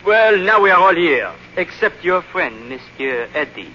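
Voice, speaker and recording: A coldly courteous French police chief in 1940s radio drama. The voice is fairly high and sharp, with deliberate, silky menace. It echoes in a muffled old recording. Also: male voice, very animated, a little hiss or hum, full character voice. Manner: suave, deliberate, coldly formal.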